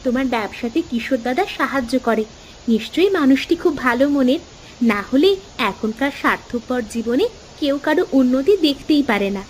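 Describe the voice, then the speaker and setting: Casting: woman playing eager, caring newlywed wife for cartoon, high-pitched, clear noise behind. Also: expressive, moderate pace, low-quality recording. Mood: warm, curious. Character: devoted, eager young village wife. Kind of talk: cartoon dialogue dubbing.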